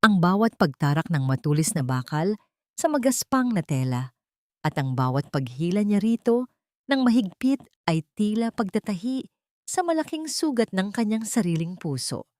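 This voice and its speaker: A woman narrating a scripted dramatic story in a measured, solemn storyteller's delivery. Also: medium pitch, very animated, no room sound, studio quality.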